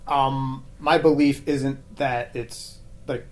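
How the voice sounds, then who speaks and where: A man speaking as a podcast guest in casual, reflective conversation, halting as he works out his thoughts. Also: medium pitch, fairly even intonation, poor audio. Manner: halting, thinking aloud, hedged phrasing.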